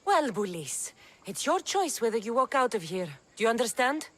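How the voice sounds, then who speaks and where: Commanding, lively-pitched female mercenary. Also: normal speed. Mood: threatening. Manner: commanding, dry, taunting. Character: tough, sardonic female Greek mercenary, commanding and blunt. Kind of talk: video game cutscene acting.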